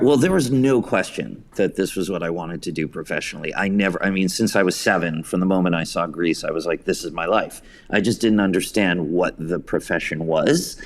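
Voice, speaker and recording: An American man in his fifties telling personal anecdotes in an interview, with a self-deprecating, storytelling manner. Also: low voice. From suburban New York, United States. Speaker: podcast guest, theater writer and director.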